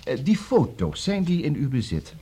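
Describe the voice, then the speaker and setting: An actor with a Netherlandic Dutch accent playing a busy, brisk, distracted male lawyer in a radio comedy, repeating himself and hesitating. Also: on the high side, huge pitch swings, warm tone, a little hiss or hum. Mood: businesslike, hurried. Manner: hesitant fillers, repeats words, juggles several conversations.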